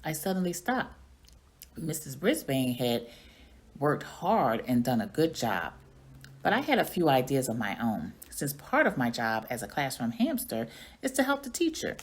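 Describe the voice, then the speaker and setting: A woman reading a children's story aloud in an expressive storytelling manner. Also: very deep voice, normal speed. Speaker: reading coach or teacher reading aloud.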